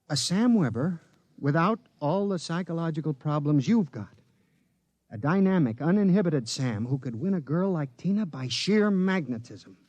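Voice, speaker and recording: An actor playing an anxious, lovelorn young lawyer in a 1950s radio drama, wry and fretful. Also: male voice, high voice, darkish tone, no room sound. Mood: scheming.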